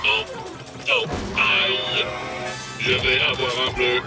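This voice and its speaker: Cartoon robot servant, clumsy and devoted, dragging pace, moaning swoops, loud music underneath. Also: medium pitch, huge pitch swings, slow pace. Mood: pained, whining. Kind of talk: French cartoon dub.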